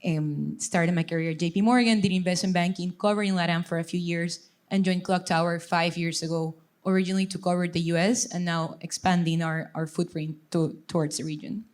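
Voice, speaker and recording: A woman's voice: fairly low pitch, fairly even intonation, fairly quick, few pauses, a little mellow. A woman from Ecuador, a venture fund director, introducing herself fluently on a panel. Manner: fluent and composed.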